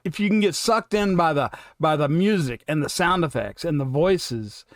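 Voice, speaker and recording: A man hosting a reaction video, giving casual, excited, gushing commentary. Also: a little high, normal speed, dry recording, clean studio sound.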